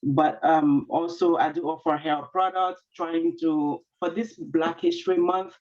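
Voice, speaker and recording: A woman's voice: deep voice, steady tone, unhurried, darkish tone. A woman, a small business owner, introducing herself earnestly on a video call with many fillers and restarts. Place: at her workplace. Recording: dull lo-fi video call audio.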